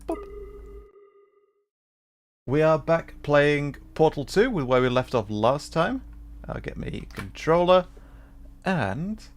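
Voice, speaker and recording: A man's voice: mid-range voice, slight background noise. A man hosting a gaming livestream, chatting casually in a wry, self-deprecating way. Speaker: livestream host, gamer. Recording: background music behind voice. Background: music.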